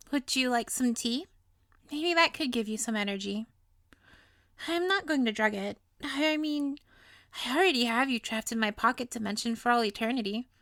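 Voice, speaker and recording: A woman's voice: sits high, fairly bright tone, no room sound, clean studio sound, full character voice. A woman playing a sweet, clingy, obsessively lovestruck young witch in an intimate comedic roleplay, with a cutesy, gushing, playful manner. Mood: doting, coaxing. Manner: cutesy, gushing, flustered stammers, playful asides. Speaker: audio roleplay voice actor.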